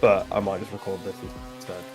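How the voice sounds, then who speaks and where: A man's voice: deep voice, little pitch movement, brisk, darkish tone, faint music behind. An English man chatting casually with a friend on a game stream call, making dry remarks.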